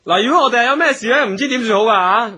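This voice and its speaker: A man's voice: extremely high pitch, racing, pushed and bright, husky, full character voice. A man playing a timid, childlike young performer in a Hong Kong Cantonese radio drama, whining and anxious. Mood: reluctant worry. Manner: whining, anxious, babyish protesting. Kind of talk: festive radio drama acting. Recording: music under the voice.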